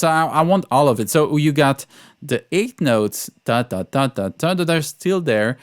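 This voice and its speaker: A man giving an informal music tutorial as a composer, speaking in halting, repeated phrases. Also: medium pitch, smooth. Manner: sings out rhythms in syllables. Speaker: composer teaching orchestration.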